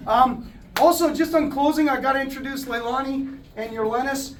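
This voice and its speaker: A man's voice: extremely high pitch, moderate pace, a little hiss or hum. A man who runs a contracting business, giving a plainspoken speech at a company party with many fillers and restarts. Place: indoor event hall. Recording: distant mic in a crowded hall.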